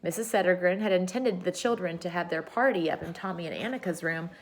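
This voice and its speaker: Expressive woman reading a children's story. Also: lowish voice, fast, noisy background. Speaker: storybook reader. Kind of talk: read-aloud children's story narration.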